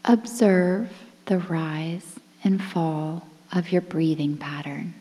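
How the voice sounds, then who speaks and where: A woman guiding a relaxation meditation in a soothing, breathy, near-whispered voice with measured phrases and pauses. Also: sits low, steady tone, warm tone. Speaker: guided meditation instructor. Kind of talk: guided relaxation meditation, softly spoken. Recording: long reverberant hall-like echo.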